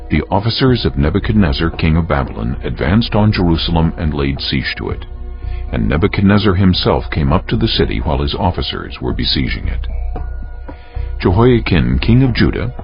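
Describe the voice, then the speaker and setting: A man with an extremely low, gravelly voice reads scripture as solemn dramatic narration. His delivery is measured yet rises and falls expressively. Music plays prominently behind him. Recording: dull lo-fi sound under music. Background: prominent background music.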